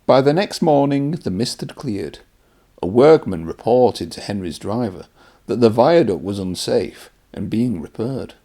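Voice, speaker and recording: A man's voice: on the low side, lively intonation, unhurried, mellow. A man presenting and narrating a read-aloud storybook for children.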